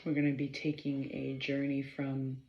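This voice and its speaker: A woman leading a guided meditation in a measured, halting way, pausing between short phrases and often clearing her throat. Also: a bass voice, completely flat delivery. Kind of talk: slow guided meditation instruction. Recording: roomy, slightly dull sound.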